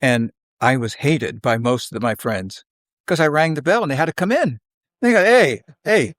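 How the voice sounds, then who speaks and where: A man's voice: mid-range voice, lively intonation, moderate pace, a bit bright, no room sound. Older man telling stories, close dry sound. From South Philadelphia, United States. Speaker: podcast guest. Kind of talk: conversational storytelling, reminiscing.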